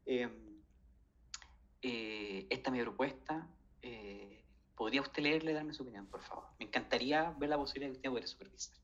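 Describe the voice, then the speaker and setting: A man's voice: mid-range voice, brisk, fairly bright tone, dull audio. A man in his thirties from Chile with a Chilean Spanish accent, telling a story in casual conversation with many fillers and restarts. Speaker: guest.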